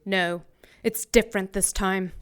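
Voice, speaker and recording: A woman playing an ancient, proud warrior archangel in an audio drama, speaking in a grave, formal, measured way. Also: mid-range voice, fairly even intonation, clear, resonant tone, close to an everyday voice. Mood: cold, guarded.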